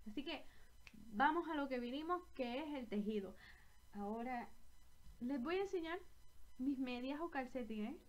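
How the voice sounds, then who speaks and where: A woman's voice: sits high, expressive, measured pace, long pauses. A young woman with a Puerto Rican accent chatting to camera from a small bedroom about her knitting, rambling and correcting herself as she goes. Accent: Puerto Rican Spanish. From Puerto Rico. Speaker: knitting vlogger. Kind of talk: casual chat to camera.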